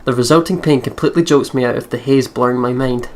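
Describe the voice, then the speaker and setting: A man's voice: mid-range voice, quick pace, darkish tone, slight background noise. A man reading a visual novel aloud as a let's player, with casual asides.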